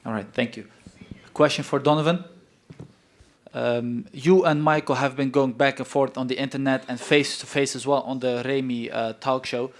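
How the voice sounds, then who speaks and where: A man asks a fighter a question at a press conference. His voice is medium in pitch, and he hesitates a little between phrases. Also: fairly even intonation, normal speed.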